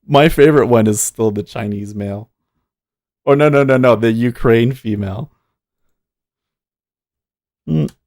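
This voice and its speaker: A man's voice: medium pitch, a little slow, long pauses, darkish tone, no room sound, studio quality. A man hosting a day trading livestream, chatting casually and thinking aloud, often muttering to himself between points.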